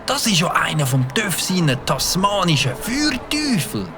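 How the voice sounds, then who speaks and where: A man playing an eager, mischievous young boy with a Swiss German accent, excitably thinking aloud in a children's audio drama. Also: sits high, measured pace, over music. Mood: scheming. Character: Mike, eager mischievous young boy who loves firecrackers.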